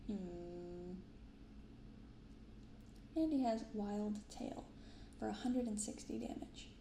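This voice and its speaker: A soft-spoken woman hosting an ASMR unboxing, reading and commenting gently and without hurry. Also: medium pitch, creaky, roomy sound, a little hiss or hum. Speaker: card unboxing video host. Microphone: binaural microphone close up.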